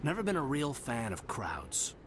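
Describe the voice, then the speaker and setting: Casting: male actor as reasonable, reflective soldier for a game, animated lightish voice, heavy noise underneath. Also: medium pitch, moderate pace, lightly acted. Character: thoughtful, even-tempered male soldier. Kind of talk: video game voice acting. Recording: loud ambient noise behind.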